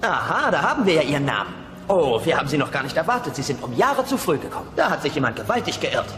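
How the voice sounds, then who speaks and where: Hallender, flinker Jenseits-Empfangschef. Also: male voice, a little high, sing-song, fast. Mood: officious. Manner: polite, officious, soothing. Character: officious, breezy receptionist of the afterlife. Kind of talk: German-dubbed comedy audio play. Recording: long echo with music underneath.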